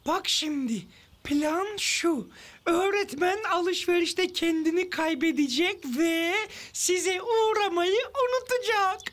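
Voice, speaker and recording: Squeaky, cheeky cartoon bird kid. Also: female voice, slow. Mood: cheeky, scheming. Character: cheeky, scheming young cartoon bird child. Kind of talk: animated children's cartoon acting.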